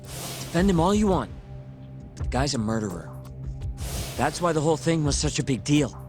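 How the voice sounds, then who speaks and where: A man's voice: fairly high pitch, big pitch swings, normal speed. A man acting a blunt, skeptical, hot-tempered character in a video game crime drama, talking casually and confrontationally. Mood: accusing, heated. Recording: loud music behind the voice.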